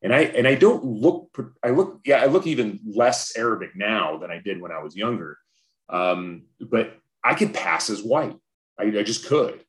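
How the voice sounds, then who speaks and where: Earnest man in his forties, low-voiced. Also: animated, normal speed. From Southern California. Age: 40s. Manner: reflective, earnest, frequent restarts and repeated words. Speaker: podcast guest. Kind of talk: casual interview conversation.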